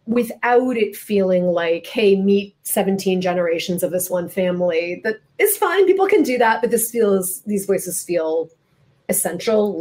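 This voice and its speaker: A woman's voice: mid-range voice, a little mellow. A woman moderating a literary interview, conversational and a little wry, building long questions piece by piece.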